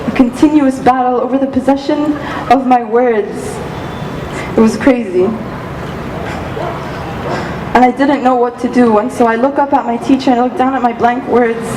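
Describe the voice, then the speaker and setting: Teen woman from Egypt, native Arabic speaker, high voice, impassioned spoken-word poet; roomy stage sound. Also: moderate pace. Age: teens. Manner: dramatic, rhythmic, impassioned delivery with repetition. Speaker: student speaker. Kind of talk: spoken-word poetry performance. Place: auditorium stage. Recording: echoey hall with background noise.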